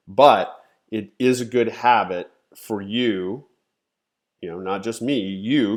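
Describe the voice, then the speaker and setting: A man in his thirties with a nasal, congested voice, teaching a live-stream chart lesson in a casual way that thinks aloud. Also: fairly low pitch. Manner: conversational, thinks aloud, frequent "okay?" and "you know". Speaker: trading educator, live stream host.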